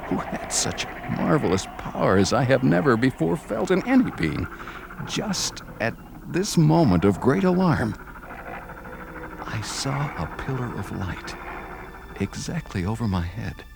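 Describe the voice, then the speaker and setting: An actor playing an earnest young religious visionary, solemnly and deliberately recounting his vision in formal old-fashioned language in an audio drama. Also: sits low, creaky, a little mellow, full character voice. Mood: alarmed, desperate. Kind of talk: dramatized first-person narration, audio drama.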